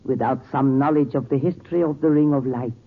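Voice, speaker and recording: An actor in a 1940s radio drama playing a courteous Tibetan gem importer who tells tales in formal, measured, precise speech. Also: male voice, mid-range voice, lightly acted. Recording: tinny 1940s radio recording.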